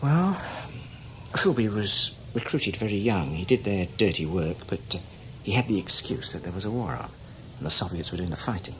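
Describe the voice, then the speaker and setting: An actor playing a seasoned, cynical older British spy in a radio drama, talking in a wry, knowing, emphatic way. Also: male voice, deep voice, very animated, moderate pace, fairly bright tone, a little hiss or hum, full character voice, rough recording. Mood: reflective, cynical. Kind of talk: radio drama acting, conversational.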